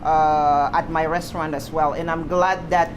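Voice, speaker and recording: Cameroonian-accented woman, lowish voice, noisy kitchen. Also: fairly even intonation, normal speed, highs cut off. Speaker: guest chef and restaurant owner. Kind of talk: casual presenting to camera. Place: restaurant kitchen, indoors. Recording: clearly noisy kitchen ambience.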